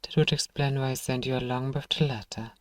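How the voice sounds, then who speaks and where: A man playing a gruff, sardonic but grudgingly kind apothecary shopkeeper in an ASMR fantasy roleplay, dryly teasing and sighing as he talks to one listener. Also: medium pitch, steady tone, a little fast, no room sound, clean studio sound, close to an everyday voice. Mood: sombre, apologetic.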